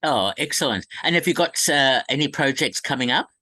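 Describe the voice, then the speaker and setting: Aussie man doing a polite arts interview, bright forward voice, middling pitch. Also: animated, fairly quick. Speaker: interviewer, host.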